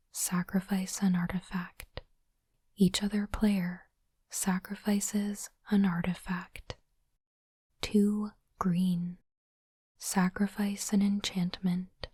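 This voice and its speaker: A woman whispering gently and breathily in an ASMR style, in unhurried short phrases, close to the microphone. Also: mid-range voice, dry recording. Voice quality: soft, breathy unvoiced whisper. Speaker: ASMR host unboxing trading cards. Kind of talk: whispered ASMR, reading cards and commenting. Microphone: close microphone, dry.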